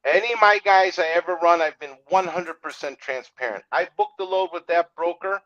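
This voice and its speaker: Male trucking owner, notably high voice, frank and informal, slightly lo-fi recording. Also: brisk, fairly bright tone. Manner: blunt, straight-talking, acts out quotes. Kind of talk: casual opinionated discussion.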